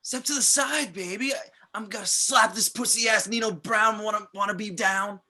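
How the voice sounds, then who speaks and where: A man's voice: high voice, steady tone, normal speed, bright, forceful tone, exaggerated voice. A man playing a cocky, crude, foul-mouthed slacker in an amateur screenplay read. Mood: defiant. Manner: brash, crude.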